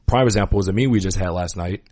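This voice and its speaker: A man with a low voice talks casually as a podcast host in a dry-sounding space. He is earnest and opinionated, leaning on slang and repeating his points for emphasis. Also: racing, darkish tone, dry recording. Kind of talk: casual conversational podcast talk.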